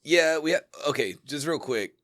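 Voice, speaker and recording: A man's voice: a little high, lively intonation, a bit bright, no room sound, clean studio sound. A man co-hosting a podcast, rambling through ideas and teasing his co-host in casual chat. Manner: rambling, teasing, quick to laugh.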